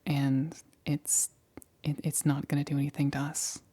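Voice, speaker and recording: A woman playing a nervous, methodical lab assistant in a whispered ASMR roleplay, speaking haltingly in a breathy whisper. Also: low voice, little pitch movement, warm tone. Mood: nervous, reassuring. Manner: hesitant, halting, repeats reassurances.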